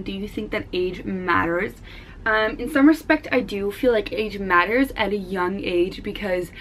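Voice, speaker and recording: A woman's voice: medium pitch, fairly bright tone, a little hiss or hum. Blunt Dutch teenage girl vlogging. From Netherlands. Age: teens. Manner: frank, blunt, swears casually. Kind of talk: casual Q&A vlog.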